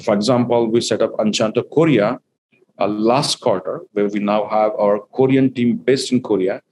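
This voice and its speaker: A man's voice: mid-range voice, darkish tone, a little hiss or hum, rough recording. Man explaining business plans, filler-heavy. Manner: fluent but filler-heavy, explains step by step. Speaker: company executive guest. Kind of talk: business interview.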